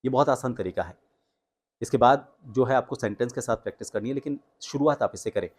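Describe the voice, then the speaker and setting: A man speaking as a voice coach, explaining techniques in an instructional way with deliberate emphasis on key words. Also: medium pitch, a little mellow, husky. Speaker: voice coach and host. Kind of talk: instructional tutorial with spoken demonstrations.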